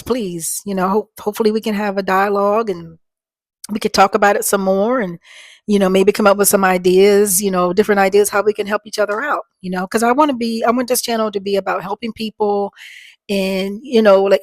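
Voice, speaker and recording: A woman in her fifties speaking in a soft, slightly worn voice that doesn't carry far. She rambles earnestly at a middling pitch, addressing her viewers as folks. The sound is close and dry, picked up by a small microphone. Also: no room sound. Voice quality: soft voice that does not carry. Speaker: vlogger. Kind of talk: casual talk to viewers.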